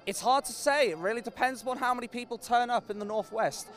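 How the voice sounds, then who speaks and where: A man from Norwich answering street interview questions earnestly, pausing to search for words. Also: extremely high pitch, fairly bright tone, soft background music. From Norwich, England. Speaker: interviewee, rally supporter.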